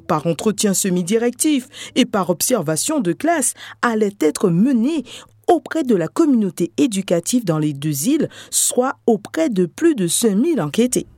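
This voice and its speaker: A middle-aged woman, a researcher, giving a measured, scripted podcast narration. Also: medium pitch, dark tone. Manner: measured, storytelling delivery. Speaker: researcher and lecturer.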